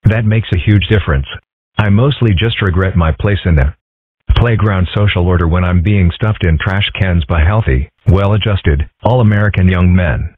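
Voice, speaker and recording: A man voicing a mild, thoughtful, bookish schoolboy in a comic strip dub, measured, polite and dryly deadpan. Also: deep voice, slightly rough, no echo. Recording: muffled, phone-like narrow sound.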